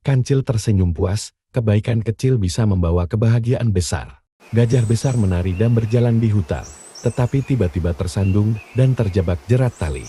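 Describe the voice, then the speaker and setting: A man narrating scripted children's bedtime stories with a dark, husky voice. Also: on the low side, normal speed, no room sound, studio quality. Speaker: storyteller narrator.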